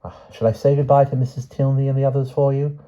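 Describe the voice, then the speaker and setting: A man's voice: mid-range voice, fairly even intonation, fast, dark tone, pitched above the performer's own voice, close to an everyday voice. Male narrator as anxious young relative, niece, lifted voice, questioning, urgent, storybook reading, dull audio. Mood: practical, caring. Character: anxious, caring, inquisitive niece of a frail aunt.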